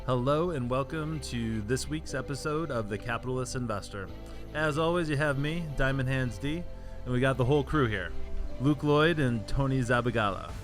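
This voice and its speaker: Male host, upbeat, mid pitch, faint music underneath. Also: moderate pace. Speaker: podcast host. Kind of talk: casual podcast chat.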